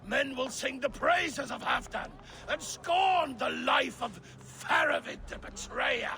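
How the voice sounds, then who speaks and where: An actor playing a furious Viking king who bellows banishments in a slow, commanding voice. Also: very high-pitched, huge pitch swings, gravelly, exaggerated voice. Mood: wrathful. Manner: shouting proclamations, slow and forceful.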